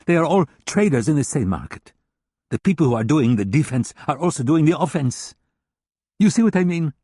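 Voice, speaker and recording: A man voicing an agitated German male hacker who rants with contempt, husky-voiced. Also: fairly high pitch, animated, a little fast, warm tone, no echo, studio quality, pitched above the performer's own voice. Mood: impassioned.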